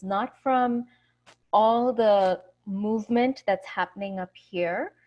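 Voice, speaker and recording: A woman from India in her thirties, speaking as a yoga teacher over a video call. Her talk is relaxed and full of fillers, with easy laughs between thoughts. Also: medium pitch. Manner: warm, laughs easily, many fillers.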